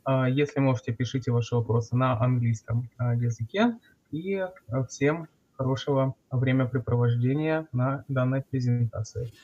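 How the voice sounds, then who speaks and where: A man's voice: medium pitch, fairly even intonation, moderate pace, mellow. A man moderating an online event, welcoming viewers with hesitant filler sounds. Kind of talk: opening announcement. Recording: dull lo-fi audio.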